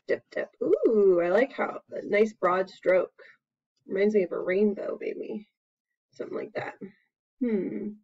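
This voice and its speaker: High-voiced American woman, playful teacher. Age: 30s to 40s. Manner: playful, expressive, with exclamations and sound words. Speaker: preschool teacher giving an art activity demonstration. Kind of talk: casual instructional chat to camera. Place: small plain room at home, indoors. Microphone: computer webcam mic at about arm's length. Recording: dull, lo-fi webcam sound.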